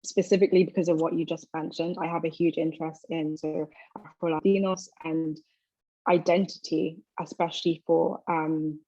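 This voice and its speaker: Low, flat-voiced young woman. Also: no echo. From London, England. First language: Twi. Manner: reflective, measured, with soft fillers. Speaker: guest. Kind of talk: thoughtful interview answers. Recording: slightly muffled video call audio.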